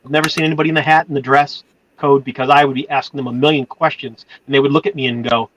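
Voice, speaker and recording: A man's voice: medium pitch, fairly even intonation, racing, fairly bright tone, a little hiss or hum, low-quality recording. A man with a Boston accent chatting on a livestream, rambling with fillers and restarts as he moderates. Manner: rambling, full of fillers and self-corrections. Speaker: channel moderator. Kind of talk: casual chat on a livestream.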